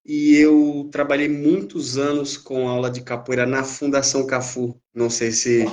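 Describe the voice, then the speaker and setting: A man in his thirties from Brazil, telling his story as a capoeira instructor on a video call, pausing and restarting often. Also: mid-range voice, level tone, a little mellow. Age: 30s. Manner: hesitant, many fillers and restarts, uneven pace. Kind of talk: live-stream interview.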